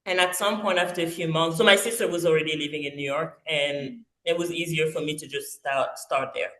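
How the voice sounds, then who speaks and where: A woman in her forties, originally from Congo by way of France, talks through her story as a guest. She pauses and restarts a lot, filling gaps with soft ums. Her voice carries a roomy echo. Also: deep voice, fairly even intonation. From Congo-Kinshasa, raised in France. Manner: hesitant, many fillers and restarts. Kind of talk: casual interview storytelling. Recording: echoey roomy sound.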